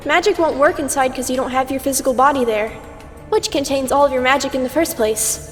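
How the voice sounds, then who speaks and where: A woman's voice: high voice, fairly quick, close to an everyday voice. A woman playing a condescending, teasing mentor, an immortal aspect of Twilight in a comic dub, lecturing in a theatrical, sardonic way. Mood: cautioning. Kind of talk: scripted fan comic dub acting. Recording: long reverb over music.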